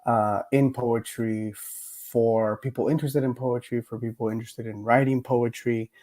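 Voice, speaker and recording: Male lecturer, mid pitch, mellow and slightly worn voice, hesitant reflective delivery. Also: little pitch movement, moderate pace. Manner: hesitant, many fillers and restarts. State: recovering from a cough, voice a bit worn. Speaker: poet laureate giving a lecture. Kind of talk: reflective mini lecture on poetry.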